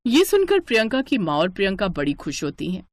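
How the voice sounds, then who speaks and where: A woman tells a scripted moral story. Her voice is mid-pitched, and her intonation rises and falls a great deal as she narrates. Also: wildly swooping pitch, brisk, no room sound, studio quality.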